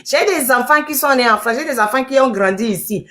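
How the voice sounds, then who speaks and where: A woman's voice: sits high, a little fast, sharp tone. A middle-aged woman from Togo, a lawyer and activist, insisting and repeating her points in a heated group discussion.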